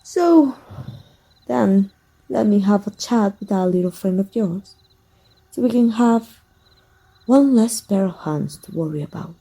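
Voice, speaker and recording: A woman acts out a possessive villainess speaking intimately to her rival in an ASMR roleplay. Her voice is very mellow and breathy, at a middling feminine pitch. She teases softly and deliberately, with jealous menace underneath. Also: full character voice, rough recording. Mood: jealous, threatening.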